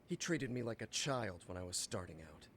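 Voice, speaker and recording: A man's voice: fairly low pitch, big pitch swings, normal speed, slight background noise. An actor voicing a haughty, condescending male prosecutor in a video game, with a sarcastic edge.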